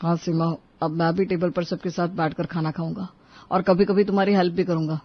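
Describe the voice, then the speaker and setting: A man's voice: sits high, flat delivery, brisk, no echo, muffled recording. A man playing a mild, agreeable husband in a cartoon family story, speaking in a subdued way. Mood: conciliatory.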